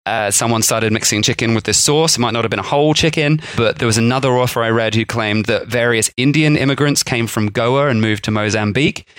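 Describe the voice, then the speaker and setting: A man of ordinary pitch talks through food history in a loose, chatty podcast manner. He laughs easily at his own attempts at accents. His voice sits close and dry on the mic, with no room around it. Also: fairly quick, few pauses, fairly bright tone, smooth, no room sound. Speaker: podcast co-host. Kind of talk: casual food history chat.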